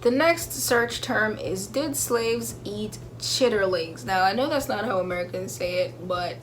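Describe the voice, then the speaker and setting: A young woman hosting her channel, reading search answers aloud and breaking into emphatic, animated asides. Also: medium pitch, unhurried, slight background noise, rough recording. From Jamaica. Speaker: YouTube host. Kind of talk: reading search results with commentary.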